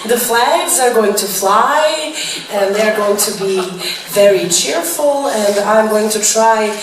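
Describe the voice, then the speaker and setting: A woman's voice: mid-range voice, takes it slowly. Chatty woman in echoey room. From Serbia. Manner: chatty, laughing, apologetic, with many fillers. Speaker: singer answering press. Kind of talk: press Q&A chat. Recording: echoey noisy room, far from mic.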